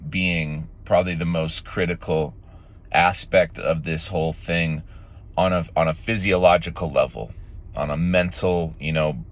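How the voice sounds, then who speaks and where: A man with a raspy, sore-throated voice, casually talking to his viewers in a rambling vlog with many fillers and restarts. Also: sits low. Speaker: vlogger. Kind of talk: casual morning vlog talk.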